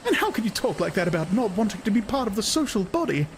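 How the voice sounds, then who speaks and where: A man's voice: high-pitched, sing-song, fast talker, slight background noise, voice pushed up. A man voicing a naive, cheerful young woman who grows anxious and pleading. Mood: distressed.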